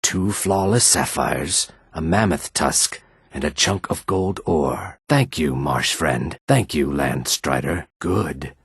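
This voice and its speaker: An actor playing a weary, guarded male lizard-folk character in a fantasy video game, speaking in a measured, formal way with a raspy, rumbling voice. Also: animated, dry recording, clean studio sound, full character voice. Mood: earnest, courteous. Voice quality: raspy, husky, low rumbling.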